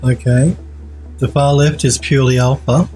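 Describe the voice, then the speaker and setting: A man, slightly nasal from a head cold, his tone mellow and dark. He critiques viewers' 3D models on a home live stream, gushing praise and restarting his sentences as he thinks aloud, with faint music playing underneath. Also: mid-range voice, little pitch movement, moderate pace. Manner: enthusiastic, repeats praise, restarts. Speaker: 3D art streamer reviewing viewer work. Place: home streaming room, indoors.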